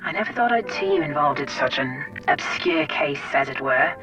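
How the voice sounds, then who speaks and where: A woman's voice: sits low, animated, moderate pace, fairly bright tone. A woman playing a cool, dryly teasing intelligence source in an audio drama, speaking frankly and familiarly. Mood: warm, measured. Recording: distant, dull lo-fi sound under music.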